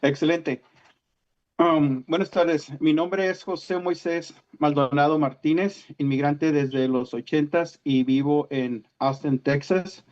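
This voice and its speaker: A man's voice: a little high, fairly even intonation, slow pace, a little mellow. A man from Sonora with a northern Mexican accent, reading a formal prepared statement as a citizen at a hearing over a video call, sometimes stumbling over words. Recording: muffled lo-fi video-call audio.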